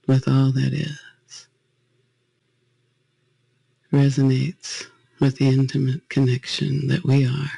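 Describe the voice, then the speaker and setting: Husky, slow-spoken man leading meditation. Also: medium pitch, mellow, no room sound, low-quality recording. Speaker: guided meditation leader. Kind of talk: guided meditation, spiritual talk.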